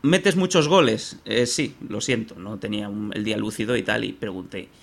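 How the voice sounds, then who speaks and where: A man from Barcelona with a Spanish accent, co-hosting a podcast and telling an anecdote in animated, casual comedic chat. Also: fairly low pitch, creaky. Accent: Spanish (Spain). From Barcelona, Spain. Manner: animated, rapid anecdotal storytelling. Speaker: podcast co-host.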